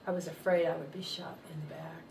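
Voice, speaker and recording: An older woman, a retired nurse, reading a first-person testimony aloud in a measured, earnest way. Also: sits low, level tone, darkish tone. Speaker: reader of a testimony. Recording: roomy sound, distant mic, faint noise.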